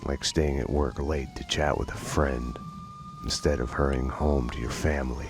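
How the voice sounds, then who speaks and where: An actor playing a world-weary, guilt-ridden homicide detective, narrating a video game in a slow, grim, deadpan way. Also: male voice, rumbling low, noisy background. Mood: brooding, fatalistic. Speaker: narrator and protagonist. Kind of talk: noir scripted narration. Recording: loud music behind.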